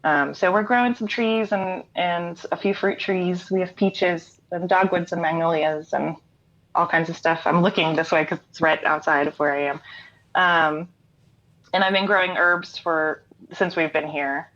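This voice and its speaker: A woman's voice: fairly low pitch, fairly even intonation, normal speed, fairly bright tone. A middle-aged American woman chatting casually as an interview guest, in an easygoing, explanatory way. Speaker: interview guest, singer-songwriter and writer. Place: remote online call from home. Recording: dull lo-fi online call audio.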